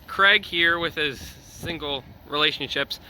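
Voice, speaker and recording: A man's voice: on the high side, measured pace, pushed and bright, poor audio. A young man chatting casually to the camera and his friends as a railfan vlogger. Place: outdoors near train tracks. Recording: outdoor noise, passing vehicles.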